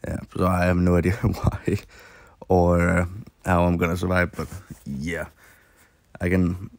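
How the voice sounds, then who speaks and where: A man with a sore, hoarse throat, rambling through casual commentary while playing a mobile game, with lots of fillers and restarts. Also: deep voice, flat delivery, a little slow, a little mellow, dry recording, clean studio sound.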